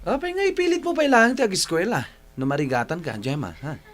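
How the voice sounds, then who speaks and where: A man's voice: high-pitched, big pitch swings, fast, a bit bright, soft background music. A man playing a mocking schoolboy bully in a radio drama, with a jeering, taunting delivery.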